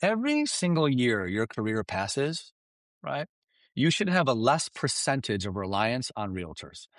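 A man whose first language is Farsi coaches his listeners in an emphatic, animated way, constantly checking in with them. His pitch swings widely as he drives his points home, and the sound is dry and close. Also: a little slow, no echo. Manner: emphatic, animated, keeps asking "right?" and "you understand?". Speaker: guest expert. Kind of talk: energetic business coaching talk.

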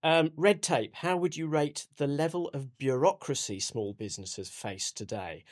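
A man's voice: on the high side, moderate pace. A man hosting a business podcast, steering the talk with upbeat guiding questions and frequent "you know". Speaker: podcast host.

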